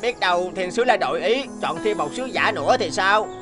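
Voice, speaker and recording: A woman voicing a young bird in a fairy-tale cartoon, expressive and hesitant in speech. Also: medium pitch, fairly quick, over music, lightly acted. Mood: wheedling. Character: young cartoon bird, naive or scheming by turns. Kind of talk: animated fairy-tale dubbing.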